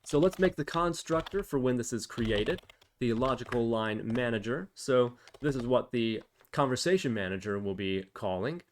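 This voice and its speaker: A man with a medium-pitched voice hosts a programming tutorial. He speaks casually, reasoning aloud and explaining things step by step, as in an informal screen recording. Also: expressive, normal speed, a little hiss or hum.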